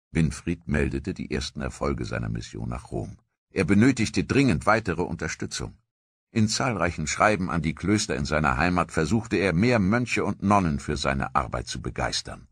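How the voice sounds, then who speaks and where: Deep, husky male documentary narrator. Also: a bit bright, dry recording, studio quality. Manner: measured storytelling delivery.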